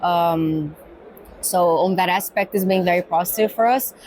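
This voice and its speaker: A woman's voice: fairly low pitch, normal speed, darkish tone, rough recording. A woman from Brazil with a Brazilian Portuguese accent, answering interview questions as a cargo executive. She speaks thoughtfully, with many fillers and a habit of ending points with "right?". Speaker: guest, pharma cargo executive. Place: exhibition hall.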